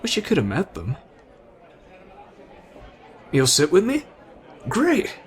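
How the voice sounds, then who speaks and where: A man's voice: sits high, huge pitch swings, slow pace, a faint crowd, heavily acted. A man playing an easygoing, friendly but tough teenage delinquent in an anime roleplay, casual and slangy. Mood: friendly upbeat. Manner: casual, slangy, addresses listener as buddy. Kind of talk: anime fan ASMR roleplay.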